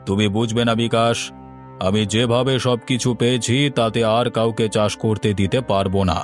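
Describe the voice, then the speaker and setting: A man's voice: medium pitch, level tone, moderate pace, natural voice. A man voicing a selfish, haughty rich village landlord in a cartoon, dismissive in manner. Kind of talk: cartoon dialogue, melodramatic. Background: faint background music.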